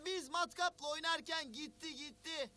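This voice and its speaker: A woman voicing a playful, eager little child in a cartoon, with an excitable way of talking. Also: sits high, moderate pace. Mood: sheepish, eager. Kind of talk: cartoon voice acting.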